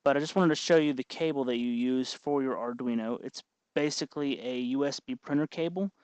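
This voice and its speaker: A man hosting a hobby tutorial, explaining each step in an informal, folksy, unhurried way. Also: medium pitch, a little mellow, clean tone, no echo. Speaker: host of a hobby electronics tutorial. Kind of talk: informal hands-on tutorial narration.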